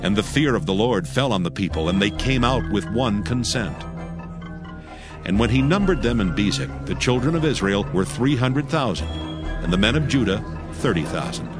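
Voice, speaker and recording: A man narrating a dramatized scripture audiobook in a solemn, measured storytelling manner. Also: lowish voice, lively intonation, natural voice. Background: background music.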